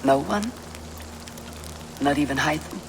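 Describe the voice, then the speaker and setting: A Viking war leader with a fairly high voice for a male. The delivery is firm, deliberate and plain-spoken cinematic game dialogue, with some noise in the background. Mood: curious. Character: resolute, commanding Viking war leader, orphaned young. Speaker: voice actor in a video game.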